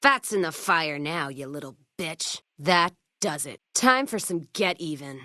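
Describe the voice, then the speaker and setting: Hard-bitten frontier caravan woman, low pitch, American accent, sardonic folksy slang, foul-mouthed, dry studio sound, video game character. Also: expressive, unhurried, pushed and bright, dry recording, heavily acted. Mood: menacing.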